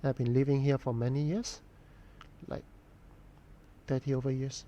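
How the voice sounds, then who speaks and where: A man, chatting casually to viewers while he draws on a live stream, answering questions in an unhurried, thoughtful way with frequent pauses. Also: medium pitch, flat delivery, dark tone, highs cut off. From Hong Kong. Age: 40s. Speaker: artist live-streaming a drawing session.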